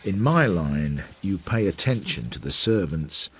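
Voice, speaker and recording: Deep-voiced male investigator narrating. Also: very animated, unhurried, very noisy. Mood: reflective. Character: reflective male investigator narrating his inquiry, working man. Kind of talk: radio drama narration.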